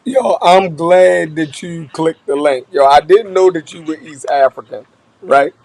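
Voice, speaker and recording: A man's voice: on the high side, unhurried, a little mellow. A man hosting a podcast, chatting casually with slang and readily agreeing with his guests. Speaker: podcast host. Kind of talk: casual chat.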